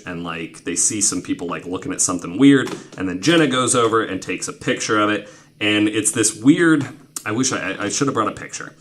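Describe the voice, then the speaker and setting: A man's voice: on the low side, a bit bright, a little hiss or hum. A man in his twenties hosting a podcast, telling stories in a casual, informal, sweary way full of filler "like"s. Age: 20s. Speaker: podcast host. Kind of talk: casual conversational storytelling.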